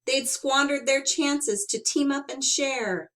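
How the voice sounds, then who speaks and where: A woman reading a children's picture book aloud as an expressive storyteller, with dramatic emphasis and drawn-out words. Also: high voice.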